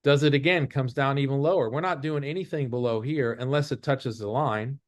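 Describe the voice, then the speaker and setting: A man's voice: mid-range voice, a little fast, no room sound. A man giving an informal lesson as an instructor, explaining step by step and repeating his rules. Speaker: trading instructor. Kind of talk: informal chart-based lesson.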